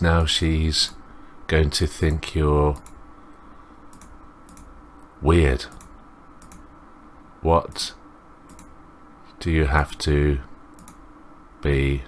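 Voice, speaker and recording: A man's voice: very deep voice, flat delivery, very slow, pauses often, slight background noise, heavily acted. A man voicing a scornful, belittling inner voice from a visual novel. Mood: mocking. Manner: mocking, scornful. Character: sneering, belittling inner voice of a student's mind.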